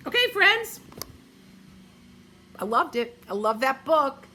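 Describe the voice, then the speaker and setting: A woman reading a children's picture book aloud, gently pointing out the pictures. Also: high voice, sing-song, slow pace, slight background noise. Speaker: storybook reader.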